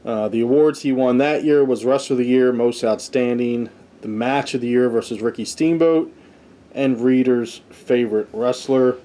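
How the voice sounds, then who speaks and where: A man's voice: medium pitch, steady tone, moderate pace, warm tone. A man hosting a podcast with casual, offhand, unscripted commentary. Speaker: podcast host, wrestling fan reviewer.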